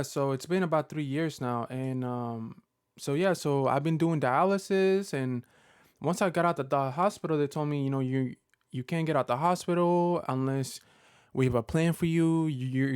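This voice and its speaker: A man in his thirties giving a personal vlog update to his viewers, talking in a halting way with many fillers and restarts. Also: medium pitch, smooth, no room sound, studio quality. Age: 30s. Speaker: Pokemon content creator.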